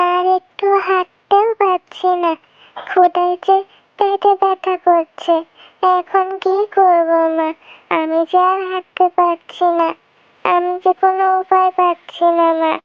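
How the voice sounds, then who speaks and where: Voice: very high voice, flat delivery, slow pace, dry recording, highs cut off, pitched above the performer's own voice. An adult voicing a pleading, whiny young fox daughter in a children's cartoon story. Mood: tired, upset.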